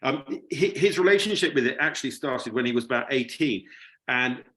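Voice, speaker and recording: Guy giving a casual talk, sharing memories, kinda halting, repeats himself, medium pitch. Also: expressive, fairly bright tone. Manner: hesitant, halting with repeated words. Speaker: guest speaker, writer and television producer. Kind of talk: informal talk, personal reminiscence.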